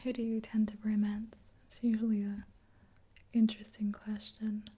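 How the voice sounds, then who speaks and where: Young woman, breathy ASMR whisper, mellow, even pitch, gentle rambling chat. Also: moderate pace. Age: 20s. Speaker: ASMR roleplayer as makeup artist.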